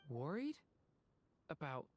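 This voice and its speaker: A gentle young dragon boy from a video game, soft and youthful. He sounds troubled, soft-hearted and quick to apologize. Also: medium pitch, very animated. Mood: apologetic, touched. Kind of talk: game voice acting.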